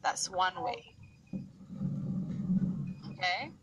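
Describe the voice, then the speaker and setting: A woman tutoring English over a video call, explaining patiently and clearly. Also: on the low side, animated, very slow, muffled recording, background noise. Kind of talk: online tutoring conversation.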